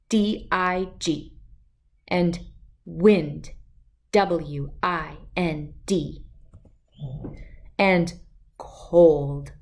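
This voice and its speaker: A woman reading a children's story aloud in an expressive, deliberate, dramatic narrating style. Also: lowish voice. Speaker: storybook reader.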